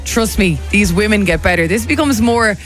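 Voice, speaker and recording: A female radio host runs a teasing, chatty countdown. Her voice is middle-pitched, with faint music behind it. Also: animated, moderate pace. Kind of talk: casual teasing countdown banter.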